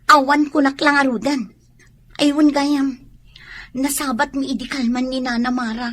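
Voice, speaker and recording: A woman's voice: high voice, steady tone, normal speed, fairly bright tone. An actress with a Filipino accent playing a headstrong, defiant young woman in love, protesting in a radio drama. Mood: worried protest.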